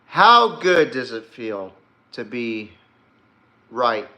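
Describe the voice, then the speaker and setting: A man's voice: medium pitch, very animated, drawn-out words, pushed and bright. A man hosting a podcast, giving casual, opinionated commentary in a rambling, blunt and emphatic way. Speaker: sports podcast host.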